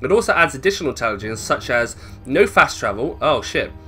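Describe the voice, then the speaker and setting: A man's voice: mid-range voice, very animated, fairly quick, sharp tone, faint music behind. A man giving casual, chatty gameplay commentary, thinking aloud and questioning himself as he goes. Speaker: gamer narrating a let's play.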